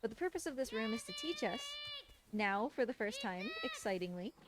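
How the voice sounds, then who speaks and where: A woman's voice: on the high side, huge pitch swings, brisk, background noise. A woman giving informal, explanatory live commentary while she plays a game, talking casually and affectionately. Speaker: speedrunner commentating on own game run.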